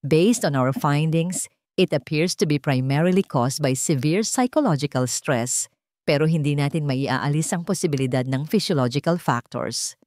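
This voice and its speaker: Babaeng gumaganap bilang pormal na doktor na Pilipino. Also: female voice, low voice, normal speed, a little mellow, dry recording, clean studio sound, close to an everyday voice. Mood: grave, sympathetic. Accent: Filipino. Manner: formal, clinical. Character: male doctor, professional, sympathetic, measured. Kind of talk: storybook drama narration.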